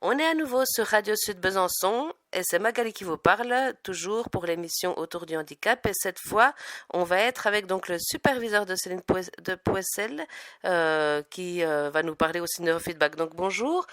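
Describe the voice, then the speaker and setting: Halting female radio host, dry sound. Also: on the low side, lively intonation, fairly bright tone, no echo. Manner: hesitant, halting phrasing. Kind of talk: radio interview, informal hosting.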